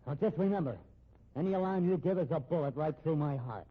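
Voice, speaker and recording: A man acting in a 1950s radio drama as a cornered fugitive gunman, sardonic and menacing, with a husky voice. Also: a little high, quick pace. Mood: taunting, commanding. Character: cornered fugitive gunman, cool, taunting, protective of sister. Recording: muffled old radio recording.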